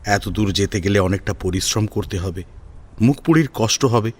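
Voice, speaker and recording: A man narrating a scripted dramatic audio story in an expressive, emphatic manner. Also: lowish voice, moderate pace, slightly rough.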